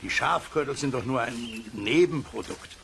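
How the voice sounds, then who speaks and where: A man's voice: mid-range voice, normal speed, vocal fry, close to an everyday voice. A man playing a blustery, self-satisfied and easily alarmed neighbour in a German children's radio play, pompous and emphatic. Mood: smug, matter-of-fact. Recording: noisy cassette transfer of radio play.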